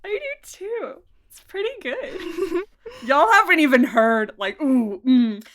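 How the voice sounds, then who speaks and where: A woman producer of an audio drama, chatting casually in an interview, animated and laughing often. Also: slow pace. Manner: animated, laughs often, jumps up high in pitch for emphasis.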